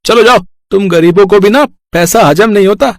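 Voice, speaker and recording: A man voicing a rude, dismissive village tailor in an animated moral story, scornful and brusque. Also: high-pitched, moderate pace, dry recording, studio quality.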